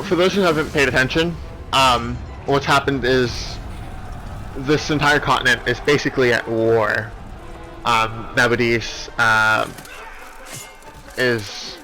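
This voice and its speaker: A man's voice: mid-range voice, pushed and bright, low-quality recording. A man giving casual, rambling let's play commentary in a hesitant, apologetic manner. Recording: game music behind the voice. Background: video game cutscene music.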